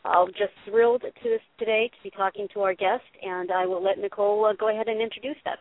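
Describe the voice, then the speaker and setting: A woman co-host talks from her car in a parking lot, friendly and relaxed. The sound is dry and close. It comes through a thin, phone-like line. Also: medium pitch, fast talker, no echo. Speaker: podcast co-host. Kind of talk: casual chat.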